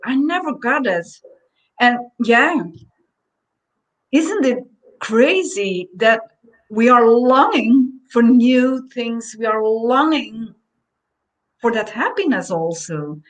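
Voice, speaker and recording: Woman from belgium, kinda high voice, really slow reflective spiritual talk, repeats herself. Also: lively intonation, poor audio.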